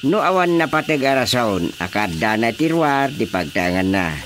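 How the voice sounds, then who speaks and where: A man's voice: medium pitch, moderate pace. A man acting an authoritative, orderly village chairman in an Ilocano radio drama, emphatic and official, with an Ilocano accent. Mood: stern, official. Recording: steady background noise.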